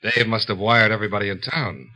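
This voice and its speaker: Low-voiced, wry private investigator. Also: male voice, fairly even intonation, quick pace, pushed and bright. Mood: dry, knowing. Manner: laconic, dry, knowing. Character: wry, cool, tough male insurance investigator. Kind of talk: 1950s radio detective acting and first-person narration.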